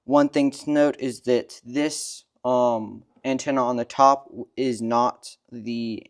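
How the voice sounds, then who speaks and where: A man's voice: medium pitch, fairly even intonation, slow. Matter-of-fact man explaining technical details.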